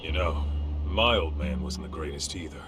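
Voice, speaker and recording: A man's voice: moderate pace, background noise. An actor playing a plainspoken male detective in a game cutscene, over music. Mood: frank. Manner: low, laid-back, plainspoken. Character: gruff, blunt older-brother type male detective. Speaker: private detective lead. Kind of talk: game cutscene dub acting. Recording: game audio with music underneath.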